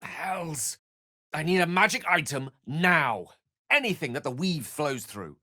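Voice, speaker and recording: An actor playing a learned, courtly wizard in a fantasy video game, theatrical and articulate, with formal, earnest phrasing. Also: male voice, a little high, lively intonation, a little slow, sharp tone, no echo, studio quality, full character voice. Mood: urgent, wary. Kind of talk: scripted fantasy game dialogue.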